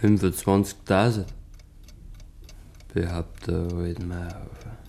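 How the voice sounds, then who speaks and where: An actor playing an evasive, uneasy village man in a 1950s Bavarian dialect radio play, halting and defensive as he mutters. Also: deep voice, little pitch movement, vocal fry, mellow, heavily acted. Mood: guarded.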